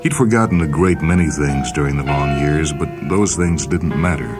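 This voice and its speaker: A man hosting and reading speculative short stories in a measured, solemn dramatic narration. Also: rumbling low. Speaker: host and story reader. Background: music and sound cues.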